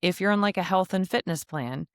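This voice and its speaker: Woman podcast host, lowish smooth voice, dry close mic, chatty with joking asides. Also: a little fast, dry recording. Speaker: podcast host and travel agency owner. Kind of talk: casual solo podcast monologue.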